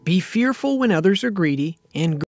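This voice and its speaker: A man narrates a scripted finance explainer in a steady, explanatory manner. His voice sits a little high and moves through a lively range of pitch. Soft music plays quietly underneath. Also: normal speed, no echo.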